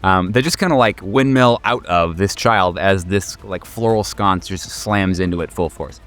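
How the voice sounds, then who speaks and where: A man's voice: low-pitched, normal speed, a bit bright. A man game mastering a horror tabletop game in casual, improvised narration, joking and swearing freely. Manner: profane, joking.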